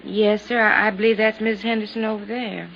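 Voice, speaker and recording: An actress playing a timid hotel chambermaid. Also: female voice, medium pitch, flat delivery, a little fast, gravelly, natural voice. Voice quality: timid, short answers. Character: nervous hotel chambermaid witness. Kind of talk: 1950s radio drama acting. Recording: dull old radio recording, faint hiss.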